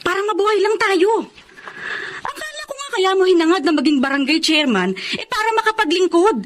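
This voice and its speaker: A woman's voice: very high-pitched, very animated, fairly quick, slight background noise. A Filipino woman playing a pious, upright middle-aged wife and mother in a radio drama, earnest and admonishing. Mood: reproachful.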